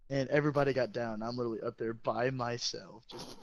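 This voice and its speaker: A teenage boy, a high school football player, telling stories in a casual, profane and boastful way. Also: steady tone, quick pace. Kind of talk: casual storytelling chat. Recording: dull lo-fi sound.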